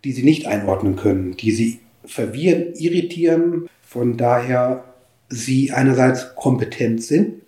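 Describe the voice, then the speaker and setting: Mellow-voiced man in an echoey room. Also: medium pitch, expressive, a little slow. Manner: formal, academic wording with hesitations. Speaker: interviewed media expert. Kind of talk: interview answers, considered explanation.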